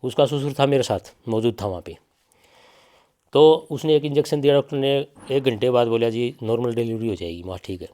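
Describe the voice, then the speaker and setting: A man's voice: mid-range voice, brisk, mellow. Middle-aged man with a Haryanvi accent. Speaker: bereaved father giving an interview. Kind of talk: spoken testimony in an interview.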